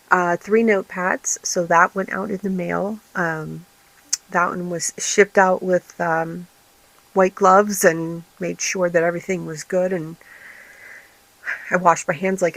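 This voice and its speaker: A woman hosting a casual vlog, her voice raspy and tired, rambling with many fillers and restarts. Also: fairly low pitch, fairly bright tone, dry recording, low-quality recording. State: tired, recovering from illness. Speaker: vlog host and small craft business owner. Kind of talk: casual chatty vlog update.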